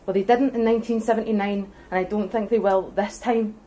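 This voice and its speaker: A woman's voice: medium pitch, steady tone, brisk. Earnest Scottish woman giving a debate speech. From Scotland. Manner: earnest, forceful, building arguments point by point. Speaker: debate speaker for independence.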